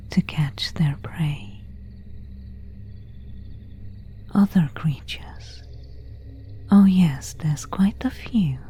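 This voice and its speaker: Woman playing a slow, whispering vampire seductress. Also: sits low, warm tone, heavily acted. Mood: softly alluring. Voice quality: breathy, unvoiced whisper. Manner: slow, formal, old-fashioned phrasing. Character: seductive, predatory female vampire, courteous and menacing. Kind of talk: whispered ASMR roleplay.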